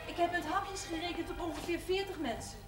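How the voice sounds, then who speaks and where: An actress playing an eager wife fussing before a party in a naturalistic 1970s TV drama. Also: female voice, very high-pitched, fairly even intonation, brisk. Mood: eager, anxious. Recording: echoey room, music behind.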